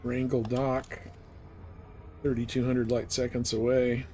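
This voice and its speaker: A man's voice: mid-range voice, little pitch movement, unhurried, dark tone, soft background music. A man streaming a space game, giving casual gameplay commentary with game sound behind him. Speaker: live streamer playing a space flight game.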